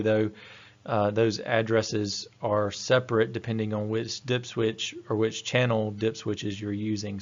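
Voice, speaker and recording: Male technical trainer, low and monotone, methodical delivery, slightly muffled lo-fi recording. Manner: matter-of-fact, methodical. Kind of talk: slide-based technical lecture.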